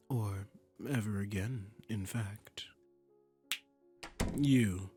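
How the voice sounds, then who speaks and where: Man voicing a slow, silky, imperious demon prince. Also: on the low side, breathy edge, exaggerated voice. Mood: seductive, admiring, then commanding. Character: seductive, commanding male demon prince claiming a captive singer. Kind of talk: romantic roleplay audio drama.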